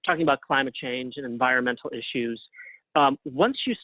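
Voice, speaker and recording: A man speaking as an interview guest in a casual, thoughtful, halting way over a tinny phone-like connection. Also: medium pitch, no room sound. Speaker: interview guest, playwright and artist. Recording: narrow tinny phone-like line.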